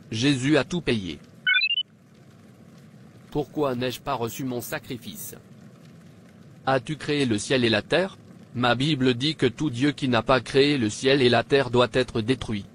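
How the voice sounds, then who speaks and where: A man's voice: medium pitch, unhurried, pauses often, close to an everyday voice. Man voicing an earnest king, faint background noise. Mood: joyful, resolute. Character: pagan king, a meek and fervent new convert. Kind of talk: scripted cartoon dialogue.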